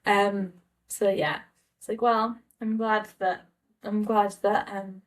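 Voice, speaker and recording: A woman's voice: mid-range voice, flat delivery, takes it slowly. A woman from Scotland with a Scottish accent, telling a personal story in a hesitant, rambling, conversational way, like a casual vlog. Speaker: travel vlogger.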